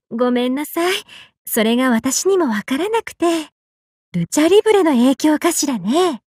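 A woman's voice: high-pitched, moderate pace, no echo, studio quality. A woman voicing a tall, affectionate big-sisterly sun goddess in an anime game, swinging between bubbly and tender. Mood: cheerful, earnest. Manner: swings between excited exclamations and gentle, tender speech. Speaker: game character. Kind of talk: Japanese anime game voice acting.

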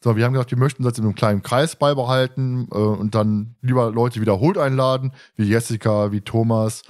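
A man's voice: mid-range voice, fairly even intonation, brisk, darkish tone. A man hosting a casual fan podcast, talking in a rambling way and often repeating words and phrases. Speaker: podcast host.

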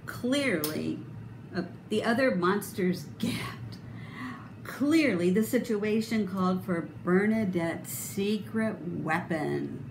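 Slow, animated woman reading a storybook. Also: mid-range voice, gravelly. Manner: deliberate, dramatic emphasis. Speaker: storybook reader. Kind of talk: expressive read-aloud for children. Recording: roomy, noticeable background noise.

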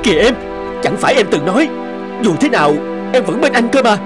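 A man's voice: high-pitched, big pitch swings, brisk, music in the background. A man voicing a distraught, pleading young husband in a cartoon drama, plaintive and emotional. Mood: hurt, pleading. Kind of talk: animated drama voice acting.

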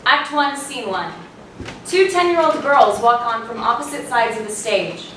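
A woman's voice: a little high, expressive, a little slow, a bit bright. A woman reading scripted stage directions as narrator at a staged play reading. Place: small theatre, recorded from audience. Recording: very echoey room, distant mic, faint noise.

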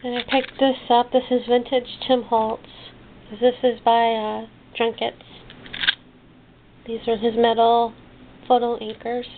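A woman giving a casual, halting show-and-tell of her craft haul, trailing off and rephrasing as she goes. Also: fairly high pitch, little pitch movement. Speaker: hobby crafter showing purchases. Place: indoors at a desk. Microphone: handheld camera's built-in microphone. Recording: muffled phone-like sound, clicky background noise.

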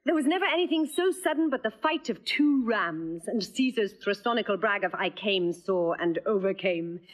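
A woman's voice: medium pitch, expressive, natural voice. An actress playing a witty, playful young noblewoman in disguise, in classical stage verse. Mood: teasing. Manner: quick, teasing, bold. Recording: dull lo-fi audio.

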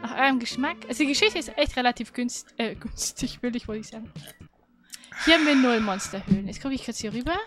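High-pitched woman, chatty casual game streamer, recap style, music clearly behind. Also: fast. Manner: chatty, informal. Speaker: gaming stream co-host.

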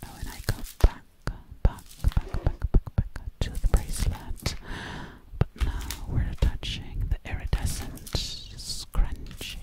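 A woman voicing a sinister, obsessive witch in a close, breathy ASMR roleplay, repeating words hypnotically. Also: slow pace, lightly acted, low-quality recording. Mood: soothing, trance-like. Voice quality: breathy whisper. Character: sinister, obsessive female witch hunting for victims. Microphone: close microphone.

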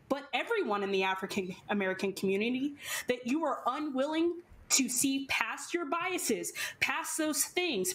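A young Texan woman on a headset mic in a room at home. Her voice sits high, and she presses each point hard and speaks straight to the audience in an impassioned panel discussion. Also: fairly bright tone. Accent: Texas. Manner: emphatic, direct, addresses viewers. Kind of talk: passionate roundtable discussion.

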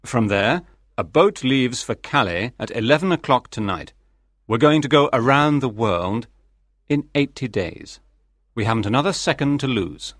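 An actor playing a calm, formal, coolly confident English gentleman in a dramatized audiobook, speaking exactly and with measured care. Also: medium pitch, no echo, clean studio sound, natural voice. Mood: calm, instructing.

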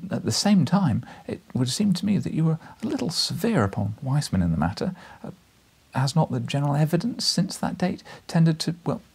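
A man's voice: fairly high pitch, creaky, a little mellow, slightly rough, voice pushed up, exaggerated voice. A man voicing a humble, flustered young journalist in a storybook, stammering and over-eager to agree. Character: meek flustered young male journalist bluffing politely.

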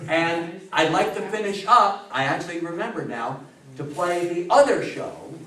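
An American man in his sixties giving an informal, animated talk to an audience, repeating phrases for emphasis. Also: high voice, moderate pace. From United States. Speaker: public speaker on radio history. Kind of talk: informal live lecture to an audience. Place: small meeting room, indoors. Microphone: far camera microphone. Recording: roomy, noisy, distant camera microphone.

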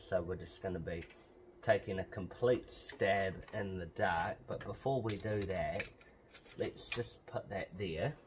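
A man's voice: on the low side, background noise. A man giving offhand, casual DIY commentary, talking through each step as he works on a car. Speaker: host of a car modification vlog. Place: outdoors beside a car's open bonnet.

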